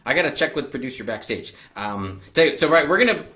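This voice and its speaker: A woman's voice: a bass voice, expressive, fast, fairly bright tone. A young woman hosting a children's show, bubbly and chatty, laughing often and rambling with fillers. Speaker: host of a children's library show.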